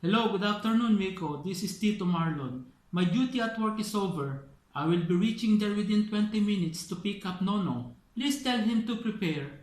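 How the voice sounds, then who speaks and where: A man's voice: high-pitched, expressive, moderate pace. A man playing a polite, matter-of-fact uncle in scripted puppet show dialogue. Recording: echoey room.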